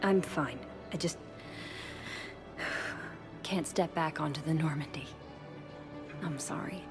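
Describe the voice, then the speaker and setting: A woman's voice: low-pitched, slow. An actress playing a caring, earnest young woman psychologist in a video game, shaken and emotional in manner. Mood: resolute, regretful. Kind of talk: video game cutscene dialogue. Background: music.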